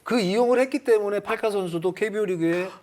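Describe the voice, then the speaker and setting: A man giving unscripted baseball analysis on a sports panel, explaining his reasoning in a measured way. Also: sits high, heavy background noise.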